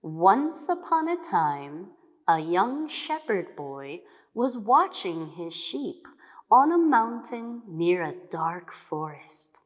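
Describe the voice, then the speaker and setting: A woman reading scripted fables as a storybook narrator, expressive, dramatic and deliberate. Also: fairly low pitch. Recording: long hall reverb.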